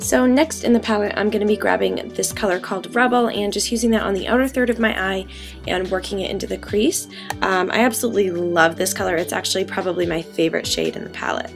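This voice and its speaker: A young woman in her twenties, raspy from a cold, chatting through a makeup tutorial in a bedroom, full of ums and likes. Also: medium pitch, animated, quick pace, over music. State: getting over a cold. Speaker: beauty vlogger. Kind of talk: casual makeup tutorial talk. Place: bedroom, indoors.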